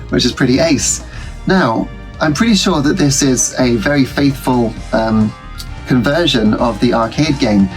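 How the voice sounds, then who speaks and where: A man's voice: mid-range voice, rough recording. A man, stuffy from a cold, chatting casually through a game as host of his retro gaming channel, rambling with frequent ums and restarts. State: congested from a cold. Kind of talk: casual let's-play commentary. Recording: game music playing behind.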